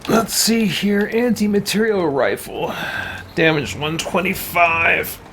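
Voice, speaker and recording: A man's voice: high voice, slow, a bit bright, a little hiss or hum. A man giving casual video game commentary, thinking aloud and musing to himself with mild exclamations. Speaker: let's play host.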